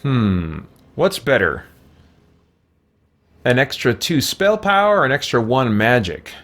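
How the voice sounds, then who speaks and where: Animated man chatting through a game. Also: medium pitch, slow. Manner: conversational, thinking aloud. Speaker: game streamer, let's-play host. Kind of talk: casual gaming commentary, answering viewer questions.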